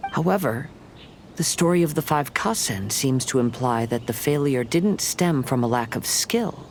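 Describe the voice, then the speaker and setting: A man playing a gentle young wandering samurai in a video game, speaking in measured, formal, reflective phrases. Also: mid-range voice, a little hiss or hum, close to an everyday voice. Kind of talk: video game story dialogue.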